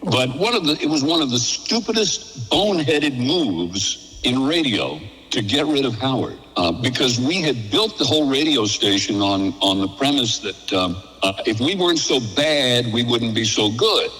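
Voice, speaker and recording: An older man speaks as an interview guest, spinning casual radio anecdotes with animated quoted exchanges. His voice is bright and sits at a middling pitch, with a long reverb around it. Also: normal speed, few pauses. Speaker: interview guest, former radio station programmer.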